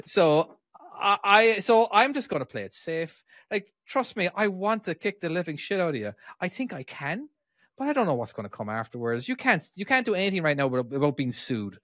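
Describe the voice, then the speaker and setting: A man's voice: high voice, big pitch swings, fairly quick, a bit bright, dry recording, studio quality. Rambling, swearing podcast man. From Ireland. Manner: rambling, swears a lot, many restarts. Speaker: podcast co-host, truck driver. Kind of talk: casual podcast chat.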